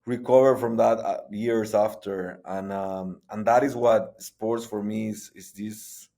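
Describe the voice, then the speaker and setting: Halting man. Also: on the low side, steady tone, vocal fry, mellow. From Guatemala. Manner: halting, frequent restarts and repetitions. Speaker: guest, sports entrepreneur. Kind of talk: conversational interview.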